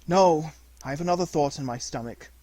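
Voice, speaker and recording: A man's voice: on the high side, a little fast, dark tone, dry recording, lightly acted. A man playing a bold, headstrong jungle boy in a storybook, speaking firmly and defiantly. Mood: defiant, reckless.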